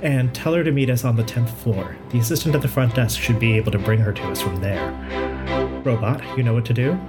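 An actor, a man, playing a kindly, brisk and chatty pathologist in an audio drama. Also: mid-range voice, over loud music, natural voice. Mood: brisk, encouraging. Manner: chatty, considerate, thinks aloud. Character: kindly, brisk hospital pathologist, nonbinary, friendly and curious.

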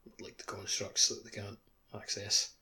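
Flat, lowish male voice, noisy recording. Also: moderate pace. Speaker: podcast co-host. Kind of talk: casual chat.

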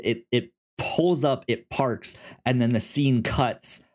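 A man co-hosting a casual film discussion, speaking haltingly and often stammering and repeating words. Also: mid-range voice, a little mellow. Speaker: podcast co-host reviewing films.

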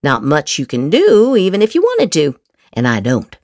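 A woman's voice: low-pitched, wildly swooping pitch, moderate pace, darkish tone, no echo, studio quality. A woman podcast host doing a theatrical dramatic reading of social media posts, with exaggerated, emphatic inflection.

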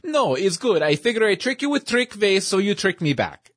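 A man's voice: high-pitched, very animated, moderate pace, a bit bright, dry recording, studio quality, voice pushed up, full character voice. A man voicing a cheerful old magic shop owner who speaks broken English like a chatty showman.

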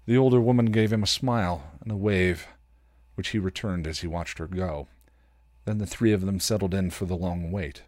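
A man reading fan fiction aloud as a podcast host, in expressive story narration. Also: on the low side, no room sound, studio quality.

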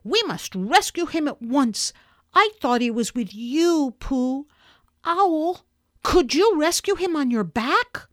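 A woman's voice: high voice, expressive, slow pace, a bit bright, no echo, clean studio sound, pitched above the performer's own voice, full character voice. A woman voicing an eager, caring young boy who takes charge in a children's storybook, exclaiming earnestly. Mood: urgent. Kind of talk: children's storybook character reading.

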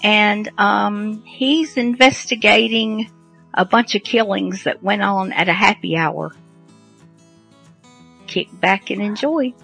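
A woman's voice: medium pitch, slow, creaky, fairly bright tone, husky, faint music behind, low-quality recording. A woman hosting a radio show, introducing programs in a casual, unscripted way.

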